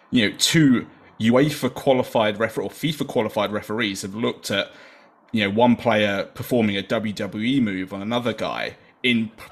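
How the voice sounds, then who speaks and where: A man, a guest podcast host, chatting casually about football with lively emphasis. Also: mid-range voice. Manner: chatty, lively, fillers like "you know".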